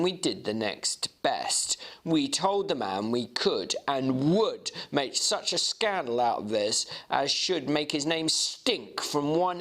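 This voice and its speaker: A man playing a worldly Victorian gentleman who recounts a shocking incident with vivid emphasis, in an audiobook. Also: medium pitch. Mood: disgusted, heated. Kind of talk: dramatic audiobook reading.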